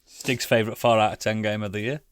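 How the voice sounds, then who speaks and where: A man's voice: lowish voice, level tone, quick pace, clean tone. A British man co-hosting a podcast in casual banter, blunt, teasing and sweary. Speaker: podcast co-host.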